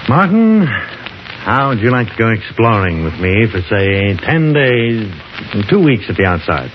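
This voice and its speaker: A learned professor and seasoned explorer in an old radio horror drama. His delivery is articulate and instructive, with lively swings of pitch. The sound is dull, with plenty of noise. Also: unhurried. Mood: genial, persuasive. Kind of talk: 1950s radio drama acting. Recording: noisy, dull old 1950s radio recording.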